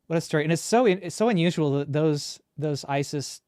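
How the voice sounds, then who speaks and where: A man's voice: fairly high pitch, brisk, dark tone, smooth, dry recording. The male host of a film livecast in a dry, close-sounding setup, chatting casually and keenly impressed by the guest. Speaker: interview host. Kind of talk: casual conversational interviewing.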